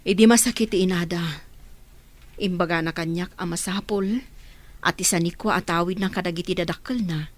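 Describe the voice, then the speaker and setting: A woman's voice: on the low side, steady tone, a little fast, long pauses, lightly acted. A woman acting in a radio drama as a caring, resolute protector of orphaned children. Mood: earnest.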